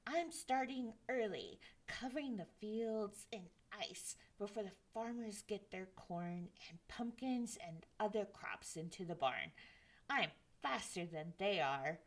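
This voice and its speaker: A woman's voice: medium pitch, expressive, slow pace. A woman voicing Jack Frost, a restless, boastful young boy, in a children's story reading. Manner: boastful, impatient.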